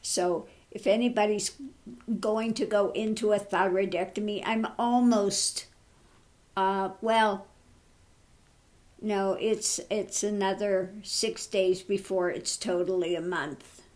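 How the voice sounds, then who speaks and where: A woman in her early seventies chatting casually and haltingly, with good humour, in a lowered, easily tired voice. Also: steady tone, darkish tone. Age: early 70s. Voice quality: voice lowered and easily tired after thyroid surgery. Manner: good-humoured, laughs at her own troubles. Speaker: online vlogger and tarot reader. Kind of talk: casual personal chat.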